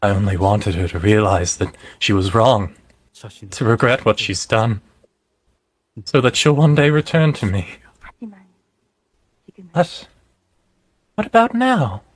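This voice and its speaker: A man's voice: animated, slow, pauses often. A man voicing an anguished, self-loathing young man maddened by grief over lost love, in an amateur game dub. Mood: despairing. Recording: low-quality amateur voice-chat recording.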